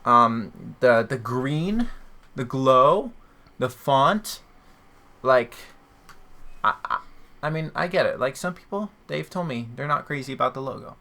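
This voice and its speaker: Gravelly, bright-voiced man chatting casually. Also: mid-range voice, animated, measured pace, pauses often. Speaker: podcast host. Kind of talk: casual chat.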